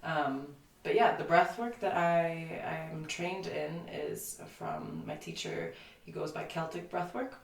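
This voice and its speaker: A woman's voice: low-pitched, unhurried, creaky, hardly any pauses. A woman in her thirties who grew up in Lithuania and whose first language is Lithuanian. She is a guest in an interview, talking reflectively and rambling with many fillers. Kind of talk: casual interview conversation. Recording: echoey room, distant mic, faint ambience.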